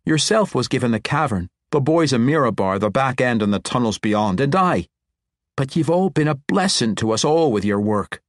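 A man voicing a young male dwarf king, calm and reassuring, with a dwarven brogue. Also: medium pitch, moderate pace, no echo, studio quality, pitched above the performer's own voice, natural voice. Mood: explaining.

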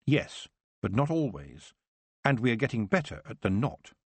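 A man voicing a sensible young witch who speaks calmly and plainly, reasoning things through. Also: mid-range voice, moderate pace, slightly rough, no room sound. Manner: calm, plain-spoken, reasoning.

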